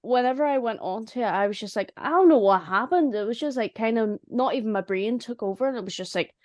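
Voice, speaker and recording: Woman with higher voice, chatting. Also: animated, fast talker, darkish tone, dry recording, clean studio sound. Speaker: audio drama writer. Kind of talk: casual group chat about projects.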